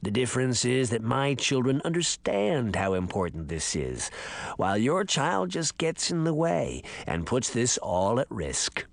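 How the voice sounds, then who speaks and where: A man voicing an ancient, menacing male conspirator with a dry, crackling, creaky voice and a coldly calm manner. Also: mid-range voice, unhurried, dry recording, heavily acted.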